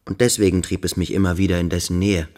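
Radio play casting: a man as thoughtful student searching for words, notably deep, earnest and inward. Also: fairly even intonation, quick pace, darkish tone, natural voice. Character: earnest, introspective young male boarding-school pupil.

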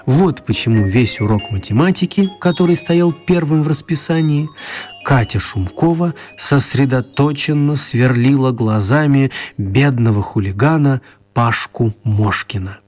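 A man narrating a children's radio play as an expressive, wry storyteller. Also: mid-range voice, a little slow, natural voice. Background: music.

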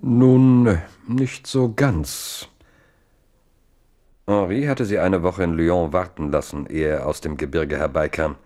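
A narrator with a very low voice tells a historical tale for radio. His delivery is measured and literary, with dry irony. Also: mellow. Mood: measured, ironic. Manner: measured, dryly ironic. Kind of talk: scripted radio play narration.

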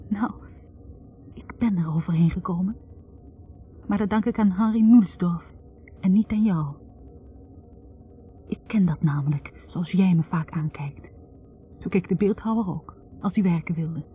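An actress playing a wounded, bitter young academic woman confessing in a halting, accusing way in a 1960s radio drama. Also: fairly low pitch, soft background music, lightly acted. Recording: tinny narrow-band 1960s radio recording.

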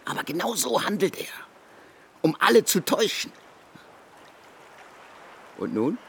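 An actor in a German radio drama playing a scornful criminologist, sarcastic and accusing in a theatrical way. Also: male voice, high-pitched, sing-song, unhurried, gravelly, fairly bright tone, slight background noise, exaggerated voice. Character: scornful male criminologist confronting a suspected murderer.

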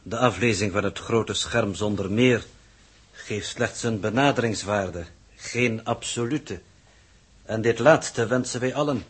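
Formal, suspicious coordinator; husky low voice, precise and pompous, 1960s radio sci-fi. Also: male voice, unhurried. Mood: coolly accusing. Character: formal, suspicious male coordinator of a space expedition. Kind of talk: 1960s Dutch radio drama acting.

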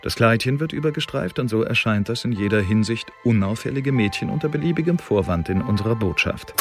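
An actor playing a dry, bureaucratic Elizabethan secret agent, reading out reports in a formal, matter-of-fact way for a radio drama. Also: male voice, medium pitch, expressive, brisk, over music, close to an everyday voice. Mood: dry, official.

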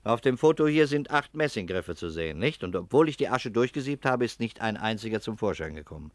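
A man acting a shrewd private detective in a sixties radio crime play. His voice is low and closely, dryly recorded. He questions people politely and deliberately, with an ironic edge. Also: lively intonation, dry recording, close to an everyday voice. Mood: calmly accusing. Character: shrewd, unhurried English private detective, male. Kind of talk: 1960s German radio crime drama acting.

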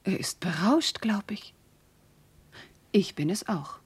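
Husky, tender young noble wife. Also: female voice, mid-range voice, animated, slow pace, full character voice. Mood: longing. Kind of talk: classical verse radio drama acting.

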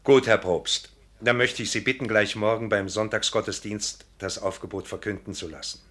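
A man playing an earnest, resolute gentleman suitor in a radio drama, speaking formally and courteously. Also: on the low side, fairly even intonation, moderate pace, lightly acted. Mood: earnest resolve. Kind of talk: literary radio drama acting.

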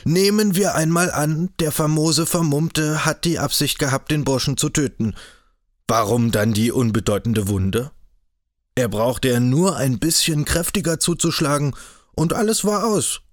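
A man doing a sneering, loud cavalry captain. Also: on the high side, measured pace, dry recording, clean studio sound, voice pushed up, close to an everyday voice. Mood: scornful. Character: mocking chatty older cavalry captain.

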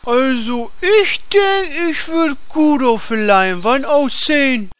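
A woman's voice: high voice, lively intonation, takes it slowly. A woman playing a gullible, vain, dim-witted male hero with a blunt, naive manner in a parody fantasy audio drama. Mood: naively flattered.

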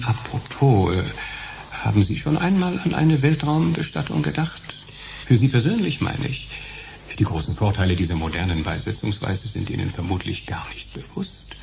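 An actor playing an unctuous elderly undertaker in a radio drama, formal and pompous, with hushed professional sympathy. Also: male voice, on the low side, expressive, normal speed, creaky, background noise. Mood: salesman-like, discreet. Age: older. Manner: oily, formal, pompous salesman.

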